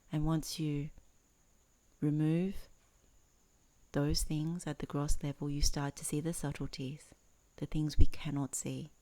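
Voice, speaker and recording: A woman teaching in a guided meditation, speaking in measured, earnest phrases with pauses between them. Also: deep voice, mellow. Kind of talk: guided meditation introduction, Dhamma talk.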